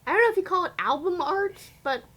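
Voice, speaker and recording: Woman guest w a really high voice, playful, just chatting casually. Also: animated, fast, sharp tone. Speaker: guest co-host. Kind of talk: casual chat.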